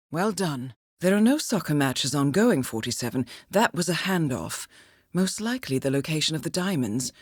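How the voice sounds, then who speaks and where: An actress playing a composed, dryly witty intelligence handler who briefs an assassin in a measured, formal way for a video game. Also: female voice, mid-range voice, no room sound, clean studio sound. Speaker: mission handler briefing an agent. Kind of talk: scripted video game voice acting, mission briefing.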